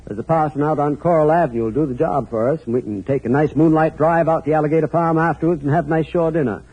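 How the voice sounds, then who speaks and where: An actor playing a folksy but sinister New England hotel keeper with a clipped drawl, in a 1940s radio thriller style. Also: male voice, fairly high pitch, steady tone, quick pace. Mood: eager, insistent. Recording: muffled old radio recording.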